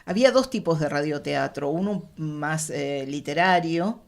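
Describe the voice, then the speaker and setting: A middle-aged Argentine woman with a low voice answers as an interview guest. She reflects out loud, halting to find her words and then stressing them firmly. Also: vocal fry. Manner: halting, searching for words, emphatic. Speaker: radio theatre specialist, interview guest.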